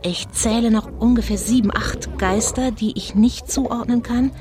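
An actress playing a suspenseful live reporter commentating on a ghost hunt in a children's audio drama. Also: female voice, on the high side, unhurried, close to an everyday voice. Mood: tense. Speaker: on-scene reporter. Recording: loud suspense music underneath.

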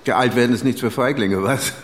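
An actor playing an anxious, witty editor in his fifties in a radio drama, fussy and halting as he talks. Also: mid-range voice, a little hiss or hum, lightly acted. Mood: awkward charm. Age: 50s. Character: anxious, witty 59-year-old editor fretting about ageing. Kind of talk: naturalistic German radio drama.

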